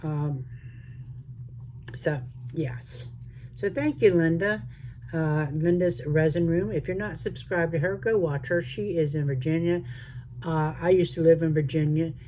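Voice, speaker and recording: Older retired crafter, mixed southern accent, slow easy chatter to y'all. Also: mid-range voice, dark tone, a little hiss or hum. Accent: mixed Southern American. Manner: chatty, rambling, talks to viewers as y'all. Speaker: resin crafting channel host. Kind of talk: casual crafting vlog chat.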